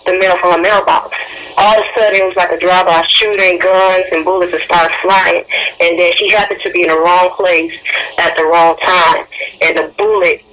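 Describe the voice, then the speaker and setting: A woman's voice: fairly low pitch, level tone, moderate pace, fairly bright tone, rough recording. A young woman giving earnest interview answers as a guest, repeating key phrases for emphasis. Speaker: interview guest, youth activist.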